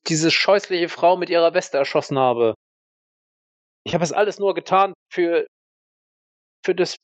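A man playing a defiant, rattled war veteran accused of murder, halting and self-justifying, in an audio role-play on a dull microphone. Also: high-pitched, no room sound.

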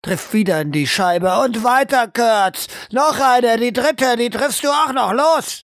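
A man's voice: very high-pitched, measured pace, fairly bright tone, no echo, clean studio sound, full character voice. A man playing an intense military shooting instructor in an audio drama, talking in terse, commanding phrases. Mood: urgent, egging on. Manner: terse clipped commands, drawn out for emphasis.

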